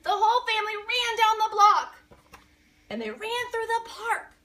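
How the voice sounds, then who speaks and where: High-pitched, animated woman reading a picture book. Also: normal speed, distant mic, slight background noise. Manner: expressive, with dramatic gasps and emphasis. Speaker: adult reading aloud to a young child. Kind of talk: animated picture-book read-aloud with chatty questions to a child.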